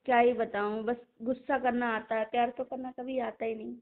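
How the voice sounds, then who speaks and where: A woman's voice: fairly high pitch, flat delivery, a little fast. A woman arguing on a phone call, complaining and sulky toward her partner. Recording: tinny phone line.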